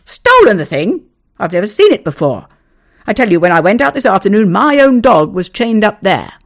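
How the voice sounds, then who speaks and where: Brief: reader putting on a blustering, outraged neighbour, gravelly, pitch leaping about. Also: very animated, normal speed. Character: indignant man denying theft.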